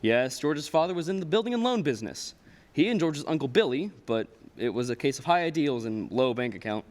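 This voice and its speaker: A man's voice: on the high side, very animated, fairly quick, darkish tone. A man playing the storyteller, narrating a scripted stage radio play. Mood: explanatory. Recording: reverberant hall stage recording.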